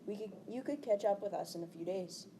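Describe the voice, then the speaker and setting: A woman's voice: medium pitch, a little fast, warm tone, slight background noise, close to an everyday voice. A woman reading the part of an earnest, stubborn teenage boy hero in a storybook.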